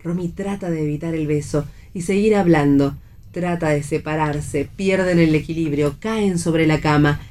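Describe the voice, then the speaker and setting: A woman's voice: low voice, normal speed, a little mellow. A woman reading stage directions as scripted narration for radio theatre.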